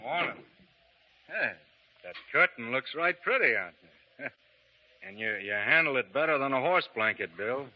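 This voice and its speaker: A man plays a calm, resolute frontier gunfighter who talks in an easy, laconic drawl at an ordinary pitch. The sound is dull and muffled, like an old radio broadcast. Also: normal speed, long pauses, close to an everyday voice. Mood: easygoing. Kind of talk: 1930s radio drama acting.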